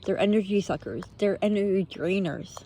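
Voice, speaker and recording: A woman giving an earnest, unscripted spiritual pep talk in short, halting phrases, often posing rhetorical questions. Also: mid-range voice, warm tone, slight background noise. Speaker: motivational speaker, vlogger.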